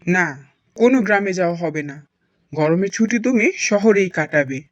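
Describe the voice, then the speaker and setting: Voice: mid-range voice, expressive, moderate pace, no room sound, highs cut off, lightly acted. An actor voicing a stern cartoon father. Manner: stern, then hushed storytelling. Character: strict father haunted by a village ghost story. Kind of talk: cartoon voice acting.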